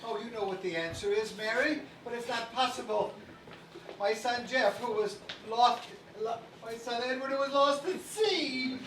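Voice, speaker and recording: A man playing a gentle, guilt-ridden old father in a stage play, hesitant and apologetic, recorded far off with noise. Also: very high voice, normal speed. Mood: troubled. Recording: echoey stage from far off, noisy.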